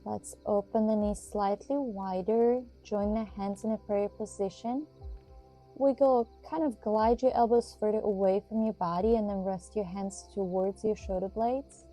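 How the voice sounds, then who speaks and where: A woman with a very dark, mellow voice at a medium pitch leads a guided yoga session. She instructs in a soothing, measured manner, leaving pauses between short phrases. Music plays behind her. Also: fairly even intonation.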